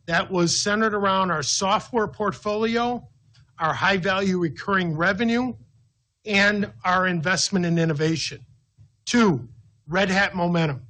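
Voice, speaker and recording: A man with a notably high voice speaks as a company finance executive. He lays out his business briefing point by point, methodical and emphatic. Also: fairly bright tone. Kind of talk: business briefing, answering an analyst with numbered points.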